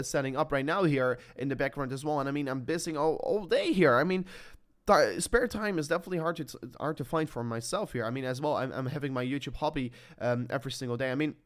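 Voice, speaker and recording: A man in his twenties talking in a rambling, motivational vlog monologue. He fills with "I mean" and "like", repeats phrases and corrects himself often. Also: fairly high pitch. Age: 20s. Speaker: crypto YouTuber.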